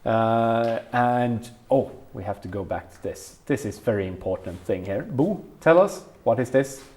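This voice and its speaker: A man's voice: mid-range voice, animated, a little slow, a little mellow. A man with a Swedish accent, a native Swedish speaker, walking through tech equipment in a casual tour with many ums and uhs. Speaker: event organizer showing equipment.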